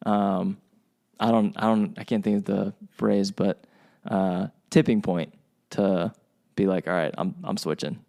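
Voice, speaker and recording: Mellow, casual male podcast host. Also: low voice, steady tone, a little slow, gravelly. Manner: casual, rambling, many restarts. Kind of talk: podcast interview.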